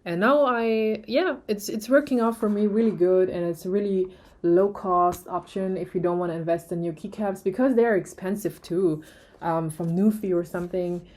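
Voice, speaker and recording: A woman's voice: mid-range voice, moderate pace, a little mellow. A young woman with a German accent, whose first language is German, chatting casually in a product review video, with many fillers and restarts. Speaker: vlogger.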